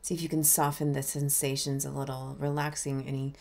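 A woman minister leading a guided meditation in a gentle, unhurried, inviting way. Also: very deep voice, level tone, vocal fry.

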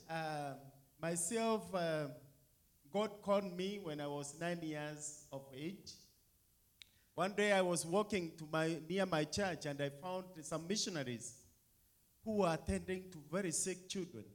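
A man, a guest speaker telling his personal testimony to a congregation in deliberate, formal phrases. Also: fairly high pitch. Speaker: guest speaker, hospital ambassador.

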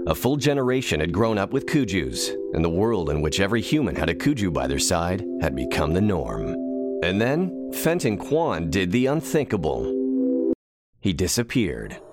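An actor playing a measured documentary narrator, delivering scripted narration. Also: male voice, sits low.

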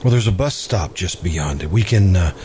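Man acting an earnest, brooding lovesick man, swooping pitch. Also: lively intonation, a little mellow. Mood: brooding, resigned. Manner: halting, pleading, repeats himself. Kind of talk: old-time radio drama acting. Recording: noisy amateur radio drama recording.

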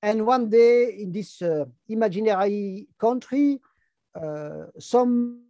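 A man in his fifties with a French-speaking Belgian accent talks through an interview in a mellow, low-key voice. He stops and restarts often, leaning on hesitation sounds. The recording is dry, with no room around it. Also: lively intonation, no echo, muffled recording. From Brussels, Belgium. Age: 50s. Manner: halting, frequent hesitation fillers. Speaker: guest, longevity activist. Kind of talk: casual interview talk.

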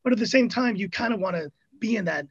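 Guest, thirties, American accent, breathy higher voice, chatty and rambling, jokey asides. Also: male voice, lively intonation, fast talker, dry recording, muffled recording. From Hong Kong. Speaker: podcast guest. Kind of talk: casual interview chat.

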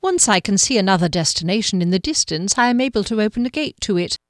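A woman voicing a tall, mysterious male guardian in an audiobook, smooth, formal and courteous. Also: mid-range voice, expressive, fast, no echo, clean studio sound, close to an everyday voice. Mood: courtly, protective. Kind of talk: audiobook character dialogue.

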